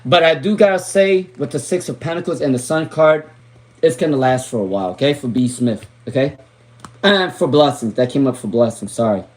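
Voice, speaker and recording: A man with a raspy, worn voice, chatting casually while giving live tarot readings and drifting into asides. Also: medium pitch, brisk. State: voice worn from talking all day. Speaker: tarot reader.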